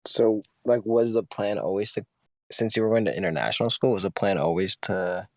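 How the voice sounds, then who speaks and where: A man's voice: lowish voice, a little fast, no room sound. Offhand young man, dry close sound. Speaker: podcast co-host. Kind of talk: casual chat.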